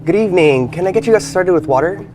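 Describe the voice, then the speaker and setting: A man's voice: high voice, lively intonation, fast, music in the background. A man playing a polite restaurant waiter in an audio drama.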